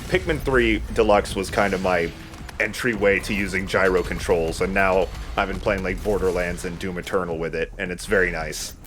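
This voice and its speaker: A man's voice: sits low, steady tone, normal speed, fairly bright tone, faint music behind. A man giving casual, chatty, self-deprecating commentary while he plays a video game as host. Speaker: let's play host playing the game.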